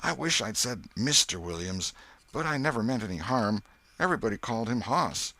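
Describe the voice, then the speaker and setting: A man voicing a superstitious, frightened young boy trying to be brave, talking in hushed, nervous rustic old-time dialect in a storybook reading. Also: medium pitch, expressive, normal speed, no room sound, pitched above the performer's own voice. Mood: uneasy, hushed.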